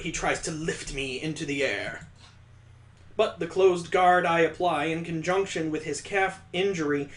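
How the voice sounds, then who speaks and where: A man's voice: a little high, fairly bright tone, echoey room. A man reading a visual novel aloud, mixing dramatic narration with sarcastic comic asides. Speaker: let's play host.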